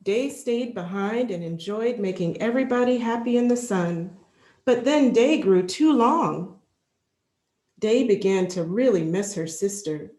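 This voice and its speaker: A woman reading a children's picture book aloud in an expressive, deliberate storytelling manner. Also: medium pitch. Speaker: storybook reader, library executive. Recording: roomy, echoing sound.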